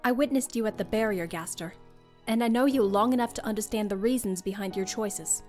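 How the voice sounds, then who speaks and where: A woman's voice: medium pitch, fairly quick, a little mellow, natural voice. A woman playing a resolute, regal monster queen in a comic dub, speaking formally and solemnly. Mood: solemn, understanding. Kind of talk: dramatic comic dub acting. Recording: music behind voice.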